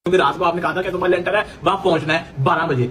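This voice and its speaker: A man's voice: high voice, steady tone, fairly bright tone, slight background noise. A man with a Pakistani accent chatting casually and informally, dropping English words into his talk. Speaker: host's companion. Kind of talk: casual chat.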